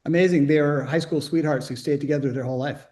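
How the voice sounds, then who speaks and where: A man's voice: a little high, fairly even intonation, fast talker, darkish tone. A man in his fifties interviewing an old classmate, asking friendly, prompting questions. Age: 50s.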